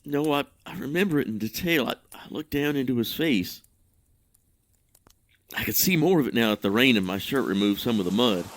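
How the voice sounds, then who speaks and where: An actor playing a troubled, guilt-ridden husband in an audio drama, recounting a memory in a halting, hesitant, brooding monologue. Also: male voice, medium pitch, animated, close to an everyday voice. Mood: anxious remembering.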